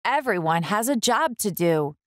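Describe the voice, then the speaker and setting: A woman reading a picture book aloud for young children, expressively, with deliberate, clear enunciation. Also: mid-range voice, very animated, a bit bright, no echo, clean studio sound. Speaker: children's book reader, host.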